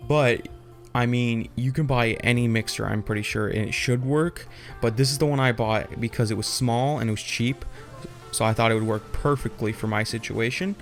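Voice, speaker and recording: A man's voice: mid-range voice, normal speed, faint music behind. A young adult man giving an informal, off-the-cuff tech tutorial, explaining loosely and rambling as he goes. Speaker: tutorial host.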